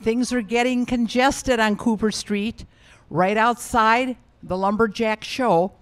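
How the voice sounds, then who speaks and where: A woman's voice: fairly high pitch, steady tone, takes it slowly. An older woman at a fair news booth, giving a playful mock traffic and weather report into a handheld mic, chatty and ad-libbing. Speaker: visitor playing a traffic reporter.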